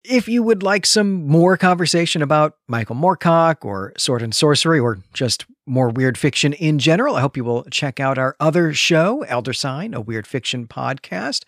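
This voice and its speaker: A man's voice: a little high, expressive, normal speed, few pauses, fairly bright tone, no room sound, studio quality. A man co-hosting a book discussion podcast, speaking in an articulate, enthusiastic and bookish conversational way. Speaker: podcast co-host. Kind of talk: book discussion podcast, conversational with some read-aloud passages.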